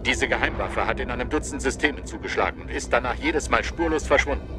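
An actor playing an earnest, concerned Jedi master speaking formally in an audio drama. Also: male voice, mid-range voice, animated, fast talker, music in the background, natural voice.

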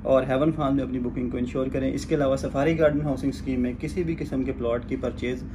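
A man's voice: medium pitch, fairly even intonation, fast talker, background noise. A man in his thirties with a Pakistani Urdu accent, hosting a real estate video from a small office, polite and formal with hesitant fillers. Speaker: real estate agent host. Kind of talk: promotional interview and sales pitch.

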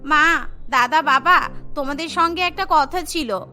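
A woman's voice: high voice, measured pace, pushed and bright, soft background music. A woman voicing a young, whiny sister-in-law in a cartoon, pleading and wheedling. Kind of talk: Bengali children's cartoon voice acting.

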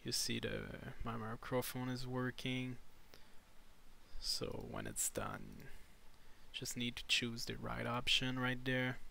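A man narrating an improvised step-by-step screen tutorial in halting, short instructional phrases. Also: medium pitch, huge pitch swings, creaky, sharp tone, a little hiss or hum. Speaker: tutorial presenter.

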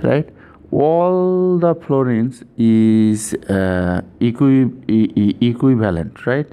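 A man's voice: mid-range voice, slow pace, mellow, clean tone. A man giving an informal step-by-step chemistry tutorial, repeating himself and often checking in with "right?".

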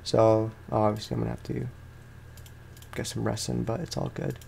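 A man's voice: on the low side, level tone, normal speed, creaky, mellow, a little hiss or hum. A young man, sounding tired, gives casual let's play commentary as a gaming host, rambling along in slangy, informal speech.

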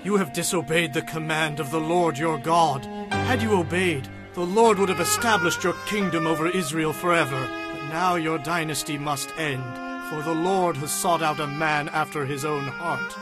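Indignant prophet, male actor, fairly high voice, stern delivery, scripture drama under loud music. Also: fairly even intonation, normal speed, natural voice. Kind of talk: audio Bible drama acting.

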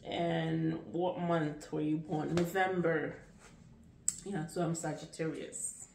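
A woman in her late thirties with a Nigerian accent talks slowly through a casual vlog, her voice sitting a little low. She rambles and restarts, relaxed and chatty. The sound is roomy and echoey, with the mic some distance away. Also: gravelly, a little hiss or hum. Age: late 30s. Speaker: vlogger. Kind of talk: casual get-to-know-me vlog.

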